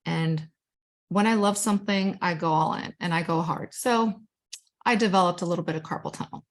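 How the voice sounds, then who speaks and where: A woman's voice: lowish voice, normal speed. A young woman from Guatemala whose first language is Spanish, chatting casually and enthusiastically as she presents her own artwork, with self-deprecating asides. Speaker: digital storytelling manager.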